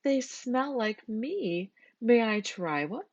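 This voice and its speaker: A woman's voice: a little high, lively intonation, slow, darkish tone, no echo, lo-fi sound, voice pushed up, full character voice. A woman voicing a sweet, hopeful lady skunk in a children's storybook, coaxing and sociable.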